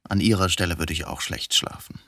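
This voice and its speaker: An actor in a radio drama playing a coolly provocative, philosophical man who has confessed to killing his wife, speaking deliberately in probing rhetorical questions. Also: low voice, fairly even intonation, fairly bright tone, no room sound, studio quality. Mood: cool, needling.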